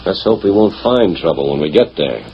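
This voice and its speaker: Young western railroad boss, low voice, plainspoken resolve, muffled old radio drama. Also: male voice, expressive, normal speed. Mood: eager, determined. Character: earnest, determined young male railroad builder in the West. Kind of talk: 1940s radio western acting. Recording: dull, faintly noisy 1940s radio recording.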